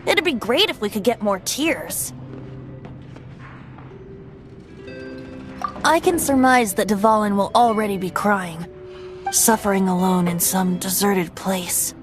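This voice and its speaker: A woman's voice: mid-range voice, measured pace, over music, lightly acted. A woman voicing a playful, whimsical young bard boy in a video game, with a lilting theatrical delivery. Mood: concerned.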